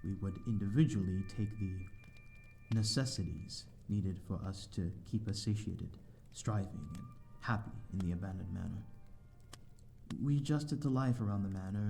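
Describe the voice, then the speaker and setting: Man voicing a courteous solitary vampire, low pitch, very mellow dark tone, formal old-fashioned wording, hesitant, ASMR roleplay. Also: vocal fry. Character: lonely, courteous male vampire living in an abandoned manor. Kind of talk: ASMR audio roleplay, addressing the listener.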